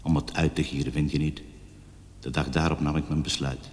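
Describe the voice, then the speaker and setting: A bitter, doomed middle-aged man reading out his last confession in a radio play. The voice is extremely deep and grim, and it hangs in a long, hall-like reverb. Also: flat delivery, brisk. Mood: bitter, resigned. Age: 50s. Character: bitter, doomed man of fifty-two, voicing suicide letter. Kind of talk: Dutch radio play monologue.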